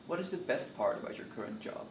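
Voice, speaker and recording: A man asking short interview questions in a brisk way. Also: medium pitch, animated. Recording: roomy, distant mic, faint noise.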